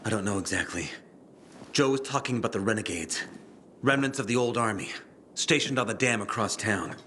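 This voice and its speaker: A brash, hard-drinking fighter. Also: male voice, fairly low pitch, a little fast, pushed and bright, a little hiss or hum. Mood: urgent. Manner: brash, suspicious, barking. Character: gruff, hard-drinking, boastful young male fighter.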